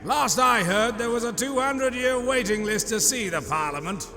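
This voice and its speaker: High-voiced, snide old god librarian. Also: male voice, lively intonation. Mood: dismissive. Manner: theatrical, sardonic, drawn-out mock politeness. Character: snide elderly male god librarian, haughty and sarcastic. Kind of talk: audio comic drama acting. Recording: long reverberant hall effect, music underneath. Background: music.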